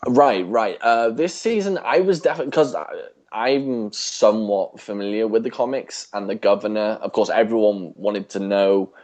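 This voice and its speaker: A man with a fairly low voice co-hosts a casual podcast. He speaks in an agreeable, slightly hesitant way, offering measured opinions in relaxed conversational phrasing. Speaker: podcast co-host. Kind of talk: casual podcast chat about a TV series.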